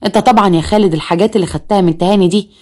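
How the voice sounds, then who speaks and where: A woman's voice: mid-range voice, racing, a little mellow, close to an everyday voice. A woman with an Egyptian accent voicing a blunt, indignant older merchant father.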